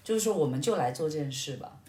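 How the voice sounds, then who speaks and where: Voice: medium pitch, animated, fast talker, dark tone. A podcast host in casual conversation, listening attentively and responding with short acknowledgements and easy remarks. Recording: echoey room.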